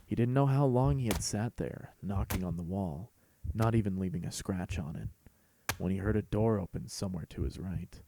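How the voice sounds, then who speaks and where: A man's voice: sits low, normal speed, vocal fry, background noise. A man reading a scripted fan fiction story as host and narrator.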